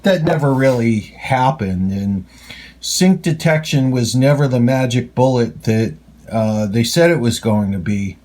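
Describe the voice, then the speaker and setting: A man giving a casual hands-on gadget demonstration as a hobbyist reviewer, halting and pausing mid-phrase, with a skeptical, opinionated bent. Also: mid-range voice, a little mellow.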